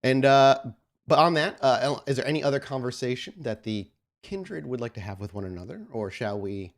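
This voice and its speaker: A man improvising narration as game master of a tabletop game, with a halting delivery full of repeated words. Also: medium pitch, animated. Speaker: game master of an actual play podcast.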